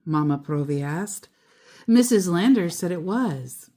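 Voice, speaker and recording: A woman storyteller reading a children's picture book aloud, deliberately and with expressive emphasis on key words. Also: lowish voice.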